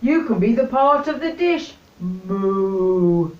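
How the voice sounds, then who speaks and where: A woman's voice: mid-range voice, expressive, takes it slowly. A woman voicing a cheerful cow in a storybook who moos between her words. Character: jolly cow, gushing and cheerful. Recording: roomy, distant, dull lo-fi recording.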